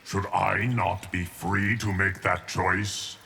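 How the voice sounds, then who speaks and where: A deep, slow voice of an ageless guardian. He is grave and patient, with a creaky edge, in video game style. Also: level tone, sharp tone, slight background noise, heavily acted. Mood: solemn, calm. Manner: measured, grave. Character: solemn, patient, ageless male guardian of time. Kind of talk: fighting game character dialogue.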